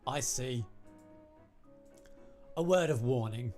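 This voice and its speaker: A man's voice: mid-range voice, slow pace, darkish tone, soft background music, lightly acted. A man voicing a guarded young man in a visual novel, friendly but cryptic and emphatic. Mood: cautioning.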